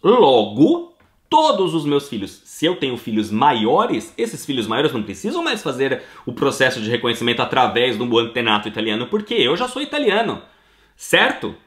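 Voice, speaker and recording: A man's voice: mid-range voice, huge pitch swings, moderate pace, fairly bright tone, reverberant. A middle-aged Brazilian man with a Brazilian Portuguese accent, answering listener questions in a casual, emphatic explanatory talk.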